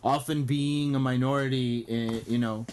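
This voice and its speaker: A man born in Armenia, whose first language is Armenian, speaks as a guest in a casual interview. His voice sits at an ordinary middle pitch, and he explains at length in a fluent, steady way, filling pauses with ums and uhs. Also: a little hiss or hum. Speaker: guest, diplomat.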